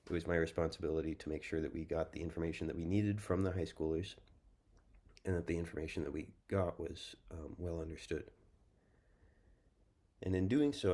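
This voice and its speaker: Young american guy presenting at a student symposium, super low voice, measured, lots of ums. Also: mellow. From United States. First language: English. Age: young adult. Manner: measured, thoughtful, with frequent ums.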